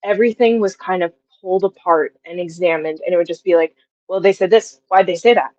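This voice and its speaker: A young woman speaks as a guest in a casual interview, with a somewhat low voice for a woman. She is effusive and slips into quoted asides as she tells things. The sound is very dry and a little dull and lo-fi. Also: brisk, a little mellow, no room sound. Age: young adult.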